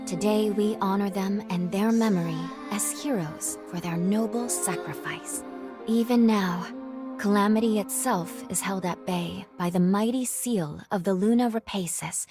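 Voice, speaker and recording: Actress as gentle young game heroine-guide, female, earnest and formal, soft-spoken, music underneath. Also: mid-range voice, unhurried, lightly acted. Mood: solemn. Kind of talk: video game cutscene acting and narration. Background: background music.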